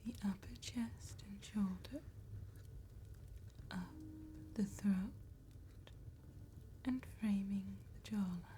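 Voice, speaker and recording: A woman playing an attentive, courteous vampire tattoo artist in a slow, breathy ASMR whisper with deliberate pauses. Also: medium pitch, slight background noise, close to an everyday voice. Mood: calm, attentive. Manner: slow, deliberate, gentle phrasing with long pauses.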